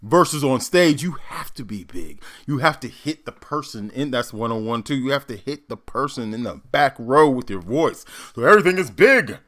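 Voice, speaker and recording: A man with a bright, forceful voice gives casual commentary as an actor. His pitch jumps around as he makes emphatic points, halting to think aloud. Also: lively intonation. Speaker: actor hosting reaction commentary. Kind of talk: casual reaction commentary, explaining stagecraft.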